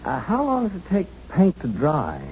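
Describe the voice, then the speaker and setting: Folksy American policeman, stammering, awkward, kindly, lively intonation, muffled hissy seventies radio drama. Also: sits high, normal speed. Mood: awkward, hesitant. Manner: stammering, hesitant, folksy, colloquial. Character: awkward, kindly, unschooled policeman, easily flustered. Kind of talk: 1970s radio drama acting.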